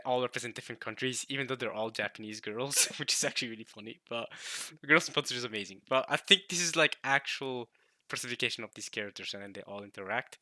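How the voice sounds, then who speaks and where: A man's voice: medium pitch, sharp tone, no echo. A man from Norway hosting a casual reaction video, rambling and cutting himself off, laughing often.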